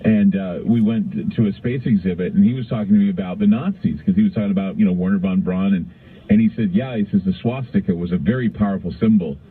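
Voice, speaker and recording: Tinny, low-voiced American radio host. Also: male voice, quick pace, husky. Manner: rambling, conversational, repeats phrases. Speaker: radio talk show host. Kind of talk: call-in radio storytelling. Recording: tinny, narrow-band radio sound.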